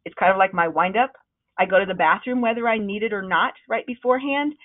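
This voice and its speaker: A woman leading a webinar on presenting, with a loud, projecting voice that is starting to wear out, talking in a conversational, encouraging way with frequent ums. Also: medium pitch, quick pace. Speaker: presentation trainer. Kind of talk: webinar talk. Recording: narrow, phone-like webinar audio.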